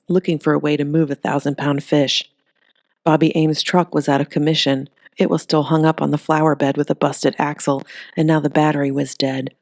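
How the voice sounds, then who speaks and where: A woman narrating a comedic creature story in an even, steady reading voice. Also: low-pitched, no room sound, clean studio sound. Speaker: audiobook narrator.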